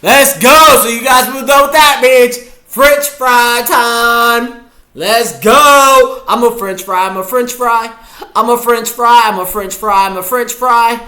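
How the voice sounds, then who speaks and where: A man's voice: very high-pitched, takes it slowly, sharp tone. A man chatting goofily to viewers while he reacts to a football game live. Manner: chatty, goofy, talks to viewers. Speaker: streamer watching a football game. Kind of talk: casual live reaction chat.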